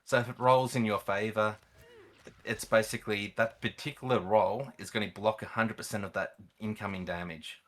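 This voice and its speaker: A man with a low voice and a bright, forward edge speaks as a seasoned gamer. He explains things in a casual, conversational way as a guest on a chat. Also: steady tone, normal speed. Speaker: guest, experienced gamer and event organiser.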